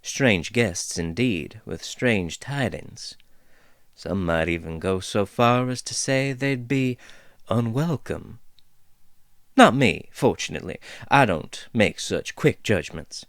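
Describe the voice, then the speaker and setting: A man's voice: on the low side, expressive, slow, fairly bright tone, no echo, clean studio sound, full character voice. A man voicing a chatty female dwarf who rambles in a folksy drawl, in a storybook reading. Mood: drawling, amused. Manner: meandering, drawling, folksy turns of phrase.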